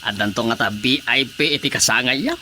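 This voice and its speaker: A man's voice: mid-range voice, fairly quick, noisy background, close to an everyday voice. A man with an Ilocano Filipino accent playing a fawning, teasing village councilman in a radio comedy drama. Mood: curious, amused. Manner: obsequious, teasing. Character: fawning, chuckling village councilman flattering his chairman.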